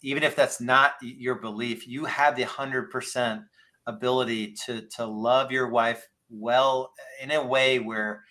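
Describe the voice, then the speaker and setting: A man around fifty hosting a casual podcast interview, speaking in an earnest, rambling and halting way. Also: mid-range voice, steady tone, pushed and bright. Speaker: podcast host.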